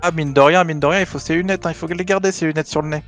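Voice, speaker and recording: A man's voice: on the high side, fairly even intonation, racing, fairly bright tone, soft background music. A man playing a tabletop role-play in character, stammering often as he asks probing questions. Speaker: player.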